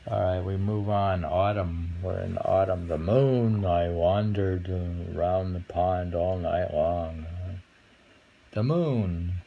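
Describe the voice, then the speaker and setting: A man reading short poems aloud and chatting about them casually, musing and pausing over the lines. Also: low-pitched, vocal fry, lo-fi sound, slight background noise. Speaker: poetry reader and host. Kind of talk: casual poetry reading and chat.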